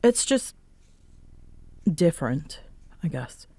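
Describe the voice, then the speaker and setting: A woman acting a guarded, grudgingly warm and easily flustered young tsundere roommate in a roleplay audio drama, with halting, wry delivery. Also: sits low, big pitch swings, breathy edge, no room sound, full character voice. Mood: grudgingly warm, teasing.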